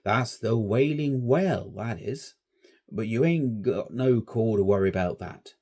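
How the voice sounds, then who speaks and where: Man playing an old country shepherd, grave and slow, rustic talk, mellow husky tone. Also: fairly low pitch.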